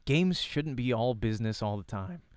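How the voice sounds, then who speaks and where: A man's voice: medium pitch, animated, moderate pace, a little mellow, smooth, no room sound, studio quality. A man reading the narration of a visual novel aloud as narrator and voice actor.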